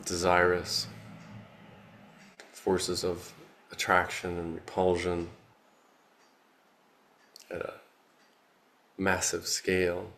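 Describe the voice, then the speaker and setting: A man leading a guided meditation, speaking calmly with long pauses. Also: sits low, a little hiss or hum. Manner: slow, calm, with long pauses. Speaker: meditation teacher.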